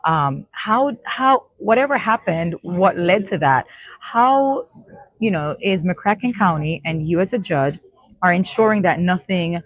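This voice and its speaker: Friendly woman host, lowish voice. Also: expressive, measured pace, rough recording. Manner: friendly, affirming, loose phrasing. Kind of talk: casual interview talk.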